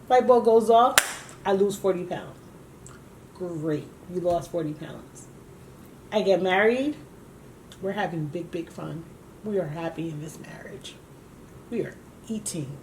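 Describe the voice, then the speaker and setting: A woman's voice: mid-range voice, poor audio. Woman late 30s, chatty co-host, tells it straight, punchy, faint hum behind. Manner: candid, emphatic storytelling. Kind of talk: casual chat.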